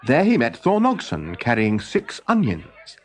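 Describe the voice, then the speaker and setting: A man reading a children's story in an animated, expressive storytelling manner. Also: medium pitch, a little slow. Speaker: story reader. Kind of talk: scripted children's storybook narration.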